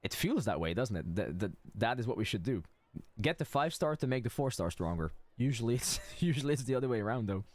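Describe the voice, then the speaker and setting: A man's voice: mid-range voice, very animated, fast talker, darkish tone. A man streaming a game with casual commentary and chat, often stammering and repeating words. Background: game music.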